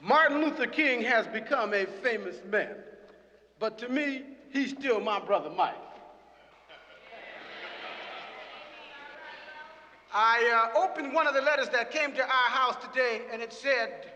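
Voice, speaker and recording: An actor playing an emotional, rambling preacher who introduces his brother in a dramatised speech, halting and repeating himself with fervour. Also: very high voice, expressive, sharp tone, full character voice. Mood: proud, uneasy. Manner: halting, repetitive, impassioned. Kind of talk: dramatised public speech from a pulpit. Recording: echoey noisy hall, dull sound, crowd.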